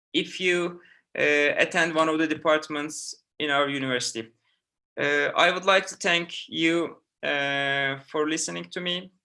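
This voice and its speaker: A middle-aged man from Turkey speaks English with a Turkish accent, answering students' questions as a professor. His voice sits a little high and sounds bright and forceful. He halts often, filling pauses with "uh" and restarting his sentences. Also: gravelly. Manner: halting, many "uh" fillers and restarts. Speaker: university professor. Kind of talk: online info session answering student questions.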